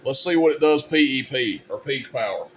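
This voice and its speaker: A man's voice: medium pitch, expressive, moderate pace, a bit bright, slight background noise. A man hosting a casual, hands-on technical demonstration, speaking informally and directly to viewers in a folksy way. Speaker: video host demonstrating CB radio gear.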